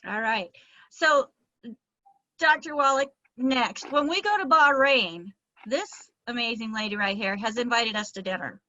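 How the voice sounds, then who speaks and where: A woman's voice: a little high, unhurried, bright, forceful tone, no echo, highs cut off. A woman hosting a live call-in, introducing callers and prompting the guest, heard over band-limited call audio. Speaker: host moderating a live call-in.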